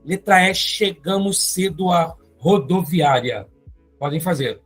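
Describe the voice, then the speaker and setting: A teacher with a Brazilian Portuguese accent, going step by step through an exam-prep grammar lesson and often adding quick check-in tags. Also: medium pitch, breathy edge, faint music behind. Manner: explains step by step, often adding tag questions.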